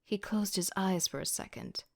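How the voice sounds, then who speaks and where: A woman's voice: mid-range voice, moderate pace, gravelly. A woman playing an agitated young student who narrates her own story in an audio drama, sardonic and self-deprecating. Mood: wry, self-conscious. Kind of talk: first-person audio drama narration with outbursts.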